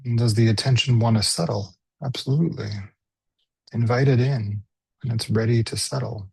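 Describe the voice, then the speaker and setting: A man leading a guided body scan meditation as a teacher, speaking gently and unhurriedly with pauses. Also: mid-range voice, vocal fry, breathy edge, dry recording, rough recording.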